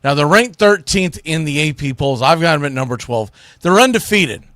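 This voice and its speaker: A man's voice: a little high, expressive, moderate pace, a bit bright, no echo, studio quality. A man hosting a solo sports talk show, giving commentary in an informal, opinionated way.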